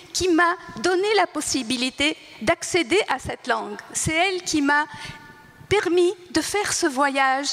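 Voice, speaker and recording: An older woman born in Tunisia whose first language is Arabic, speaking at a panel talk and reflecting in a halting way, often searching for words and restarting. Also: sits high, a bit bright. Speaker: writer on a panel. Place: large hall. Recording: reverberant hall.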